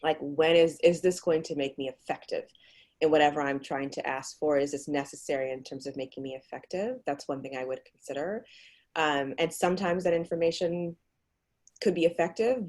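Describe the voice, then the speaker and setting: A woman speaking as a guest on a video call, explaining her advice in a measured, thoughtful way. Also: sits low, a little mellow.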